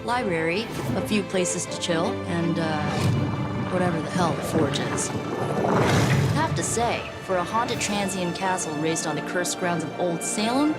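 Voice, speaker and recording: A woman's voice: on the low side, measured pace, natural voice. A woman playing a casual, slightly awkward young witch in a video game, chatting in a wry, hesitant way. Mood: wry, chatty. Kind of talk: video game cutscene acting. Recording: loud music over the voice.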